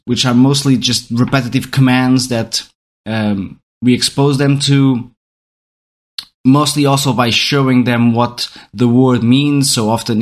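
Portuguese man musing on how we learn. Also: mid-range voice. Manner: thinking aloud, many restarts and rhetorical "right?". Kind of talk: reflective talk on learning.

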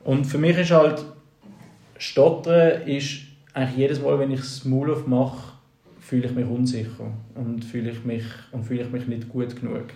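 A man with a Swiss German accent who stutters, talking in a halting, reflective way as a podcast co-host. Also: mid-range voice, little pitch movement, dark tone. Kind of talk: casual podcast chat. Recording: roomy echoey sound.

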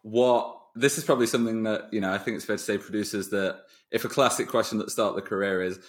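A man with a fairly low voice hosts a casual podcast. He talks quickly and at length, in a discursive, conversational way, building up slowly to each point through asides. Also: steady tone. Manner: wordy, discursive, long run-up to questions. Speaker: podcast host. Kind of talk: casual podcast interview chat.

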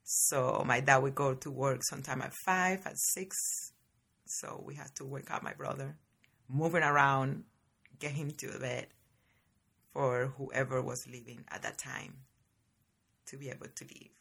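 A woman, hosting a podcast and telling her life story in a chatty, lively way, often calling her listeners guys. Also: extremely low pitch, a little slow, creaky, long pauses, slightly rough. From Cuba. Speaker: podcast host. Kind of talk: casual personal storytelling.

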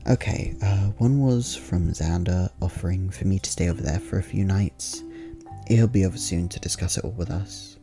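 A man's voice: low voice, moderate pace, over music. A man playing a friendly, rambling diarist in an ASMR roleplay, talking casually with wry, hesitant asides. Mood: casual, distracted.

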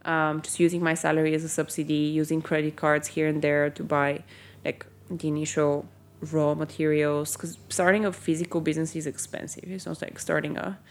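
A woman's voice: deep voice, level tone, a little fast, hardly any pauses. A young woman from Bulgaria, a company founder, chatting in an interview with many ums and likes. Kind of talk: casual interview.

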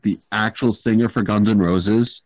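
A man's voice: on the low side, fairly even intonation, normal speed, a little mellow, no room sound, poor audio. A teenage boy with an Irish accent hosting a casual podcast chat on a home mic, swearing and teasing bluntly. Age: teens. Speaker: podcast host. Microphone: home microphone.

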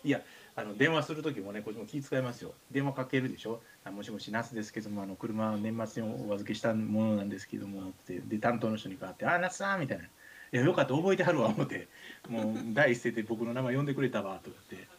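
A man's voice: lowish voice, fast talker, a little hiss or hum. A man with a Kansai accent chatting casually as a radio host, telling comic anecdotes with acted-out dialogue. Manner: animated storytelling with acted-out dialogue. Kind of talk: casual comedic anecdote chat.

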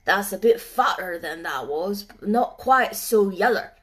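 A woman voicing a sarcastic old male gardener with a broad Yorkshire dialect, blunt, loud and drawling. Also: mid-range voice, expressive, measured pace, lightly acted. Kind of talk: storybook reading.

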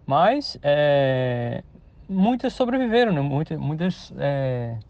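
A man's voice: fairly high pitch, very animated, takes it slowly, a little mellow. A man, a farmer telling his story casually with hesitations and restarts. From Santa Catarina, Brazil. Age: 50s. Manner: hesitant, many restarts and tag questions. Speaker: farmer interviewee. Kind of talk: casual spoken account. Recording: dull lo-fi radio interview sound.

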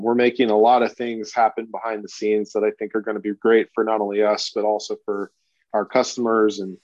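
Low-key lower-voiced man, hesitant. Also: darkish tone, no echo, dull audio. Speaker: sportsbook manager. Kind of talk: casual interview answers.

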